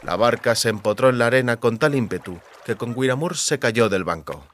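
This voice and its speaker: Guy narrating a fantasy novel, mid pitch, water and rowboat sounds behind him. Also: brisk, noisy background. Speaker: audiobook narrator. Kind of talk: scripted literary narration of fantasy fiction. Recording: clear water and boat ambience behind. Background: lapping water and rowing boat sounds.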